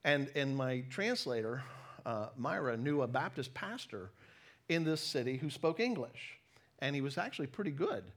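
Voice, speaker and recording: A middle-aged American man giving a sermon with personal stories, conversational but emphatic, pausing deliberately between phrases. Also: mid-range voice, sing-song. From United States. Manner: conversational, emphatic with deliberate pauses, self-deprecating. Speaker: preacher, former missionary.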